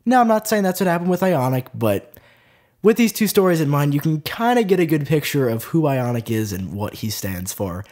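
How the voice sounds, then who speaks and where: Lively young man with a stuffy nose. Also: a little high, fairly quick. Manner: chatty, lively, lots of "like" fillers. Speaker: tech video host. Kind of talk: casual tech news vlog.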